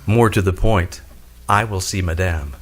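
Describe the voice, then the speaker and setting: An actor playing a cynical, wisecracking private detective in an old-time radio noir drama, casual and sardonic. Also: male voice, sits low, a little slow, over loud music.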